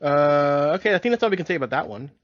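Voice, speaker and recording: A man's voice: on the high side, animated, fast talker, no room sound, muffled recording. A man co-hosting a podcast, introducing cartoon episodes in casual chat. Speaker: podcast co-host.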